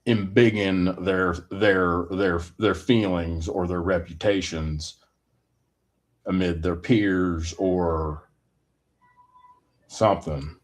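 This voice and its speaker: A man giving a rambling personal opinion monologue in a deliberate, halting manner with wordy, formal phrasing. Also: deep voice, steady tone.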